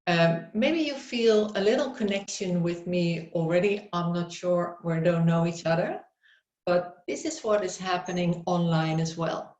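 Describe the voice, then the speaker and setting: Slow Dutch-accented woman on a dull, roomy call. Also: on the low side, a little mellow. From Netherlands. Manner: unhurried, searching for words, friendly. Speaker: presenter, psychotherapist. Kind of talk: webinar presentation. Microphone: video call. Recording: roomy, dull webinar audio.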